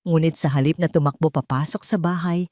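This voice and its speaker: A woman narrates a scripted drama in a low-pitched voice. The sound is dry and close, with no room around it. She tells the story as a dramatic storyteller. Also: fairly even intonation, fairly quick, dark tone, no echo.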